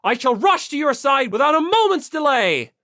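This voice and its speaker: An audiobook reader voicing a stern, zealous high school hall monitor boy with pompous, formal, emphatic speech. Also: very animated, moderate pace, sharp tone, studio quality, exaggerated voice. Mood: solemn, formal. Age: teenager. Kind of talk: audiobook character voice.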